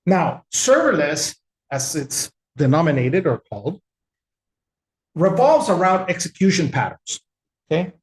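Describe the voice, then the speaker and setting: A man from El Salvador giving a relaxed, conversational technical webinar with frequent fillers. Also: a little high, echoey room, poor audio. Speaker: webinar presenter.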